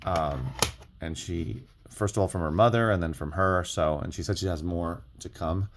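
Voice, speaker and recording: A man's voice: low voice, moderate pace. A man talking casually as an online reseller sharing tips, rambling a little and often rephrasing himself. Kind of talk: casual reselling vlog talk. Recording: clearly audible background noise.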